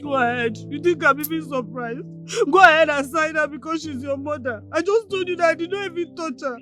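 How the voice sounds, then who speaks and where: A woman's voice: sits high, fairly quick, pushed and bright, soft background music. A woman with a Nigerian accent acting an aggrieved, tearful young bride in a film drama.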